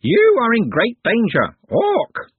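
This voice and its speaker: Man doing a screeching, high-pitched bird-woman creature. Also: wildly swooping pitch, takes it slowly, husky, dry recording, narrow, tinny audio, exaggerated voice. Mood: shrieking alarm. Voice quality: squawking, screeching. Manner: shrill, jerky exclamations and warnings.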